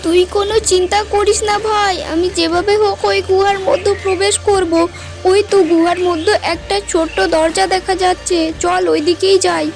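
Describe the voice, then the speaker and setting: A woman's voice: extremely high pitch, flat delivery, normal speed, music in the background. A woman voicing a brave, protective young elder sister in a fairy-tale cartoon, speaking in an earnest, imploring manner. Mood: determined, reassuring.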